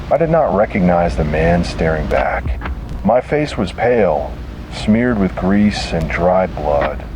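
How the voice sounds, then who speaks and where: A man's voice: sits low, noisy background. A man reading a scripted first-person horror story in a grave, dramatic way.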